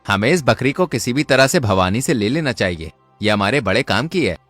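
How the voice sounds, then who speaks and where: A man's voice: mid-range voice, sing-song, moderate pace, a bit bright, dry recording, soft background music, pitched below the performer's own voice. A man voicing a greedy, gullible village swindler in a cartoon moral story, with a scheming manner.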